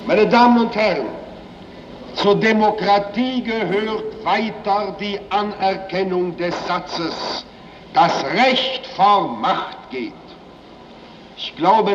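An actor playing a solemn, impassioned post-war German parliamentarian, giving a formal speech in deliberate, oratorical phrases. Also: male voice, high voice, recorded from a distance, muffled recording. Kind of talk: formal political speech to an assembly. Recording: echoing hall from afar, faint noise.

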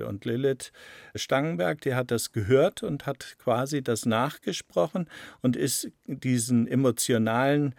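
Gravelly man explaining in an interview. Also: medium pitch, a little mellow, no echo, studio quality. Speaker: interviewed radio drama author.

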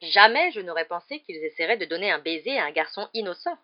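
Une femme qui interprète dans un livre audio un ministre contrarié, soucieux, prompt à protester. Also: female voice, fairly low pitch, lively intonation, fast talker, lo-fi sound, close to an everyday voice. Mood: worried. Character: flustered, irritated male government minister. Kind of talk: acted audiobook dialogue.

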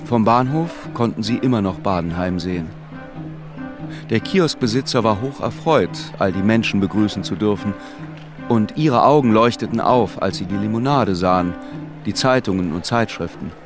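An actor narrating a literary radio play as a solemn, measured storyteller. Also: male voice, fairly low pitch, expressive, music in the background, lightly acted. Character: solemn storyteller recounting a deportation.

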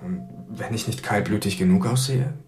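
An actor playing a frightened, unsure young murder suspect in a radio crime drama, anxious and emotional. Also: male voice, lowish voice, moderate pace, creaky, darkish tone, soft background music.

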